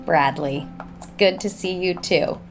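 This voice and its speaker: A woman's voice: on the low side, measured pace, lightly acted. An actress playing a driven, busy businesswoman and guarded company co-owner in an audio soap opera, polite and businesslike. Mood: cordial. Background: music under the dialogue.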